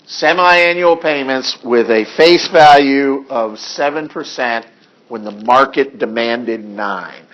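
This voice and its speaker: A man with a hoarse voice lectures an accounting class, working through numbers at an unhurried, steady pace. His delivery is plain and measured, broken by dry asides. The classroom recording sounds muffled and lo-fi. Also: mid-range voice. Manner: slow, deliberate reading of figures with dry asides. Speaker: accounting instructor.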